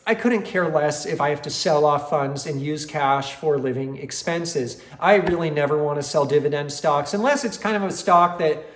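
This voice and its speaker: A man in his forties with a high-pitched voice talks straight to his viewers as a channel host. He explains things emphatically, repeating words to drive them in. The room adds a clear echo around his voice. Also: moderate pace, hardly any pauses. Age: 40s. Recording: roomy, echoing room sound.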